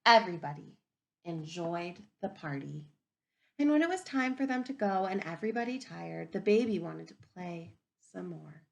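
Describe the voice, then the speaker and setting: A woman reading a picture book aloud to young children as a teacher, expressively, pausing to wonder aloud and ask them questions. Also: on the low side, gravelly. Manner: expressive, wondering aloud, inviting listeners to reflect.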